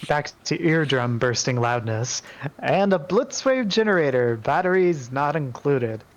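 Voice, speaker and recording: A man's voice: on the high side, expressive, unhurried. A man in a group reading, moving between reading aloud and casual chat. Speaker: participant and narrator in a group reading. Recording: dull, lo-fi microphone sound.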